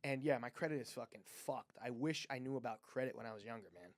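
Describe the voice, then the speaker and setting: Fast-talking crude man, rambling chat. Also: medium pitch. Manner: rambling, crude, trailing off mid-sentence. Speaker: podcast guest. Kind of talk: casual chat.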